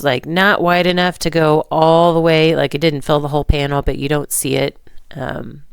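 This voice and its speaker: A woman narrating a card-making tutorial in relaxed, rambling steps, hoarse and stuffy from a cold. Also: low voice, dry recording, studio quality. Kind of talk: voice-over craft tutorial.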